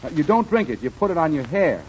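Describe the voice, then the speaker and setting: Lively, high-pitched 1940s radio announcer. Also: male voice, quick pace. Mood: friendly, chatty. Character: friendly announcer pal pitching hair tonic. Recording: vintage 1940s radio, muffled, faint noise.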